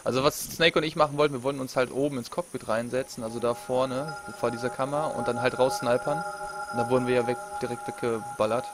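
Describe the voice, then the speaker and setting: Male let's play host, a little sick, casual and jokey, mid pitch, faint noise. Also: fairly even intonation, quick pace. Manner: laid-back, laughs often, jokey sound effects. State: has a cold. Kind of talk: casual gaming chat with friends.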